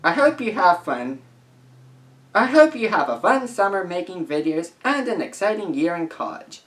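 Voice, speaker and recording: A young man in his late teens speaking as a video creator in a casual vlog, reading messages aloud in an earnest, careful, halting way. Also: high-pitched, very animated, a bit bright.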